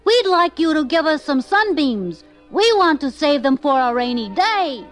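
A woman's voice: mid-range voice, sing-song, unhurried, faint music behind. A woman voicing an innocent, eager little boy pig in a children's story, earnest and emphatic. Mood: calling out.

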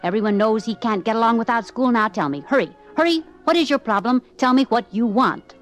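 Lady playing a rushed impatient little scholar from a storybook, fussy and quick. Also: a little high, expressive, soft background music, close to an everyday voice. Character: hurried, impatient schoolboy scholar.

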